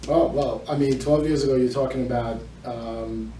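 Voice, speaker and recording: An echoey, slightly noisy room recording of a man being interviewed. He is middle-aged and has a mellow voice. He explains things at length as a loan officer. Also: mid-range voice, steady tone, normal speed. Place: indoor room with small audience. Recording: echoey room, distant mic, faint noise.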